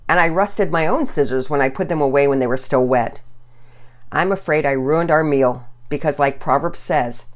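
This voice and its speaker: A woman's voice: low voice, close to an everyday voice. A woman voicing an exasperated, flustered father in a children's story, stammering and stumbling over his words.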